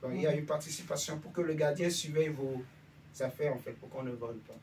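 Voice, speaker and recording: A man from Benin gives an informal sermon to a small congregation in a conversational voice that sits a touch high. He keeps asking his listeners whether they follow him. He is recorded from a distance, with faint noise behind him. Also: fairly even intonation, fast talker, a little mellow. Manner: conversational, asks listeners for agreement. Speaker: preacher.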